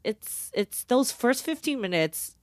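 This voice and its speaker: A woman's voice: fairly high pitch, big pitch swings, slow, dry recording, clean studio sound. A woman co-hosting a casual film review chat, enthusiastic and chatty, often saying like. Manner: enthusiastic, chatty, many fillers of like. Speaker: film podcast co-host.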